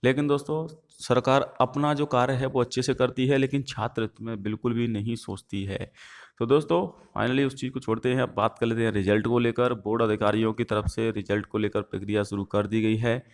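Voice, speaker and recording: A man's voice: medium pitch, fairly even intonation, brisk. A man giving viewers an informational update as the host of an online video channel. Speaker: YouTube host giving exam news updates.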